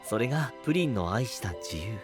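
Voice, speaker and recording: A male actor playing a gentle, responsible young knight in an audio drama, soft-spoken and earnest. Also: fairly low pitch, sing-song, brisk, music in the background. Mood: calm, reflective. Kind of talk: Japanese anime-style audio drama acting.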